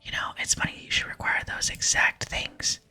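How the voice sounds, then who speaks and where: Wry game adventurer, read by an ASMR gamer, over-explaining, mock-innocent, sharp breathy whisper, music behind. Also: moderate pace. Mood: mock surprise. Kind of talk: whispered game dialogue reading.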